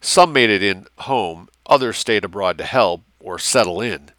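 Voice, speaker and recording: A man's voice: lowish voice, expressive, unhurried, fairly bright tone, no room sound. A man voicing a blunt, cynical officer giving a briefing in a war novel, coarse and slangy. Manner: coarse, slangy, matter-of-fact.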